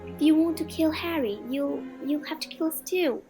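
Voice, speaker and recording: A woman playing a bright, loyal teenage witch in an audio drama, earnest and dramatic. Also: sits high, normal speed, over music. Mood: frightened, brave. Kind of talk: fan audio drama acting.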